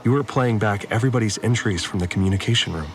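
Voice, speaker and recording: A male actor playing a troubled, obedient farmer confined on a spaceship, giving a halting, confessional audio diary in a science fiction drama. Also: on the low side, lightly acted. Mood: awed, halting. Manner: halting, repeats words, confessional. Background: music.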